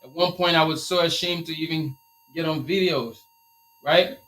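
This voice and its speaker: A man from Liberia with a Liberian accent, hosting a casual live stream Q&A on his t-shirt business and repeating his points in an energetic, motivational way. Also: on the high side, moderate pace, rough recording.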